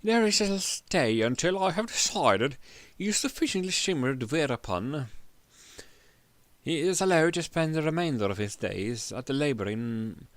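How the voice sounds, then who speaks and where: A man's voice: a little high, animated, moderate pace, no room sound, pitched above the performer's own voice, close to an everyday voice. A man voicing a cruel, smug old king in a pompous, declamatory manner for a fantasy story reading.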